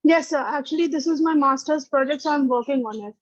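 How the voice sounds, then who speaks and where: A woman's voice: high voice, fast talker. A young woman from India, a student presenter giving short, deferential replies. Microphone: video call. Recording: dull lo-fi sound.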